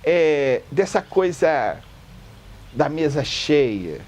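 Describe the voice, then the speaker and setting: A man's voice: fairly high pitch, drawn-out words. A Brazilian man enthusiastically recalling his grandmother's cooking in an interview, peppering his speech with fillers. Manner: lively, enthusiastic, repeats "assim" and "entendeu". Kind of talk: reminiscing interview.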